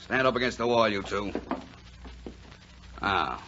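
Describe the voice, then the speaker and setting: An actor, a man, playing a gruff, suspicious small-town police chief who barks orders in 1940s radio drama style. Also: on the low side, measured pace, pushed and bright, slight background noise. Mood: suspicious, sardonic. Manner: barking, commanding, sardonic. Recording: dull vintage 1940s radio broadcast.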